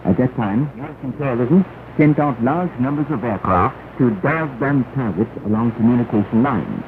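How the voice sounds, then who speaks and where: A man announcing 1960s propaganda news on the radio, formal and emphatic, phrasing deliberately. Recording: noisy 1968 shortwave radio, narrow band, rumble.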